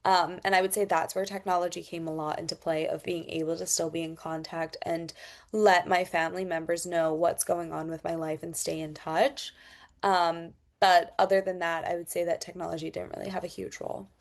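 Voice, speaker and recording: Young woman, mixed international accent, long vowels, lowish voice, thoughtful, hesitant pauses. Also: level tone. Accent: mixed international, elongated vowels from Thai. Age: young adult. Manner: thoughtful, frequent "um" and "I would say". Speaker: podcast guest, international student. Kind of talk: reflective interview answers.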